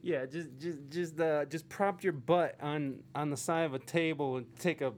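A man's voice: a little high, fairly even intonation, moderate pace. A man in a casual livestream chat, speaking in a hesitant, rambling, self-deprecating way as he interviews. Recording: faint background noise.

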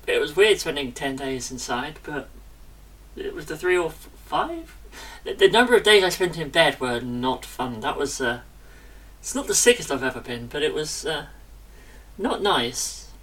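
Woman, podcast co-host, bright tone, a touch off-mic, chatty and self-correcting. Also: low voice, normal speed, breathy edge, far from the mic. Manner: chatty, self-interrupting, lively. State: recovering from illness. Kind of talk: casual chat.

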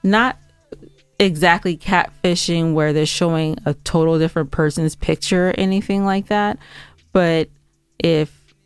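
Slow-talking woman, clear smooth voice. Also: on the low side, fairly even intonation, no room sound. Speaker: podcast co-host. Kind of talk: casual podcast chat.

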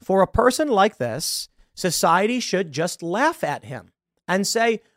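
Animated, mocking man in his thirties. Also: high voice, a little slow, dry recording, studio quality. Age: 30s. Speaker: political commentary host. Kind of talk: reacting to a video, commentary.

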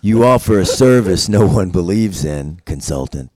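A man playing a world-weary, cryptic office drifter, deadpan, in a noir comedy table read. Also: low voice, moderate pace, dry recording. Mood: cutting, deadpan.

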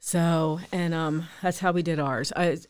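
A middle-aged woman co-hosting a podcast, chatty and quick to laugh. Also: deep voice, fairly even intonation, a little mellow, smooth, dry recording, clean studio sound. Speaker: podcast co-host. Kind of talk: casual banter.

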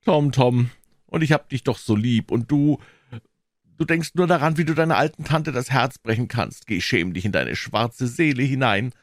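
A man voicing a brisk, tender-hearted elderly aunt who scolds in exclamations in a storybook. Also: fairly low pitch, expressive, a bit bright, dry recording, clean studio sound, pitched above the performer's own voice. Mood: reproachful.

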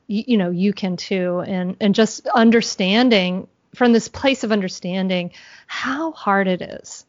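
A woman speaking as a podcast guest, talking in a reflective, halting way with many restarts. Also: mid-range voice, dry recording. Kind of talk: podcast interview, conversational. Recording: dull, dry sound.

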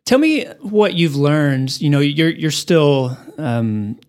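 A man's voice: on the high side, lively intonation, takes it slowly, darkish tone. A man interviewing a guest, asking long, thoughtful questions that he often rephrases mid-sentence. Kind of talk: oral history interview.

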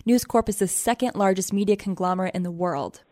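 A woman's voice: medium pitch, brisk, a little mellow, no echo. Dry-sounding woman reading news. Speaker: news presenter. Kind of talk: scripted radio news narration.

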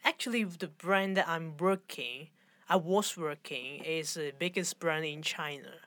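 Hong Kong-accented woman, lower voice. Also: fairly bright tone, no echo. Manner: halting, searching for words. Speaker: fashion designer, guest. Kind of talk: conversational interview.

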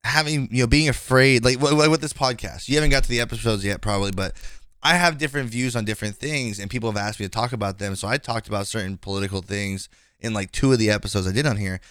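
A man's voice: on the low side, quick pace, fairly bright tone, clear, resonant tone, no echo, studio quality. A man in his twenties hosting a casual podcast conversation, speaking frankly and rambling with many fillers and restarts. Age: 20s. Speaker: podcast host.

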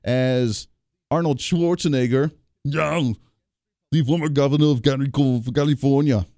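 A man's voice: medium pitch, warm tone, no room sound. Opinionated male talk-radio host, dry sound. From Kansas area, United States. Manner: opinionated, sarcastic, rapid asides. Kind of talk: political commentary.

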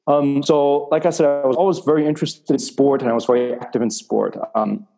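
A man who grew up in Germany and Spain, a coach answering interview questions in a measured way with frequent fillers. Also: mid-range voice, fairly even intonation, gravelly, warm tone, faint music behind. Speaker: athletics coach.

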